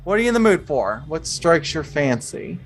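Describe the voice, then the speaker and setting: A woman narrating an improvised tabletop game as game master, in a loose, conversational, halting way. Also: low-pitched, very animated, rough recording. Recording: music playing behind. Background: music.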